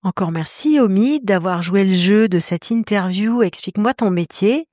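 A woman's voice: medium pitch, moderate pace, dark tone, no echo, studio quality. A woman hosting a podcast interview, reading scripted questions in a polite, presenter-like way.

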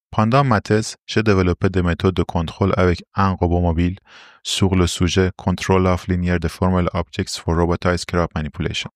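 Low-voiced Iranian-accented man, formal and explanatory. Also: no room sound, studio quality. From Iran. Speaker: interview guest, robotics R&D engineer. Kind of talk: prepared interview answers.

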